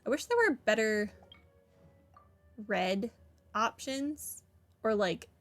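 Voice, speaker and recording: A woman's voice: on the high side, big pitch swings, takes it slowly, faint music behind. A woman giving casual playthrough commentary as a gaming host, rambling and dryly sardonic. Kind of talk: casual playthrough commentary, reading dialogue aloud.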